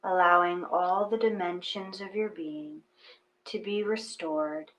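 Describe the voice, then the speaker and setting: A woman leads a guided meditation in a fairly low voice, very slowly. She speaks soothingly, leaving space between short phrases. There is some hum and noise in the recording. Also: steady tone, a bit bright, rough recording. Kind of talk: gentle guided meditation reading.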